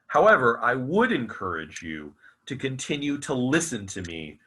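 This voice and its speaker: Bright, forceful man reciting with dramatic swoops. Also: mid-range voice, sing-song, slightly rough. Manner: dramatic, deliberate, emphatic with repeated phrases. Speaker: author reading own novel aloud. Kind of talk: theatrical literary recitation.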